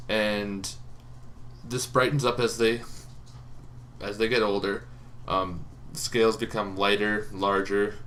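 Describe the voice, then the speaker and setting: A young man with a lowish voice and a crisp, forward tone talks through tabletop game lore in a loose, rambling way, full of ums and false starts. A faint hiss sits under the recording. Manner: relaxed, rambling, many ums and restarts. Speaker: tabletop game video host. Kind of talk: casual explainer talk.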